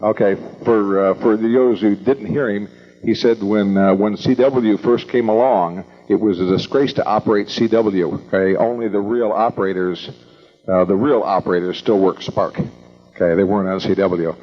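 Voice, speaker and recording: An older American man hosting an evening meeting, introducing speakers informally in a halting, rambling, folksy way. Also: lowish voice, fairly even intonation. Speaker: event host introducing speakers. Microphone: event microphone. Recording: dull lo-fi event recording.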